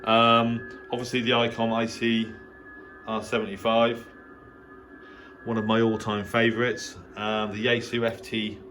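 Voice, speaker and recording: A middle-aged man with an English accent speaks in a very low register. He talks off the cuff like a hobbyist giving a tour, understated and rambling, with frequent hesitations. The home recording has clearly audible background noise. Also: monotone, a little slow, fairly bright tone. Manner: hesitant, understated, rambling delivery with trailing asides. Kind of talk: casual unscripted video tour. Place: indoor home radio shack.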